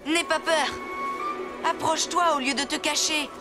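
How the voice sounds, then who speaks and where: A woman's voice: sits high, fairly even intonation, moderate pace, loud music behind the voice, lightly acted. A woman voicing a brave, kind and determined young boy in a French cartoon. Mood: reassuring.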